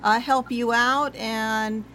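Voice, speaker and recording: A woman hosts a live interview, asking friendly, encouraging questions. Her voice sits a little higher than average. Faint background noise runs under the video call. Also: steady tone, slow pace, bright, forceful tone. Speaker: host.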